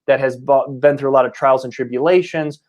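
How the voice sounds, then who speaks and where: A man hosting a casual motivational interview show, talking in a wordy, halting way with frequent restarts. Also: mid-range voice, darkish tone, lo-fi sound. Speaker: interview show host and coaching institute founder.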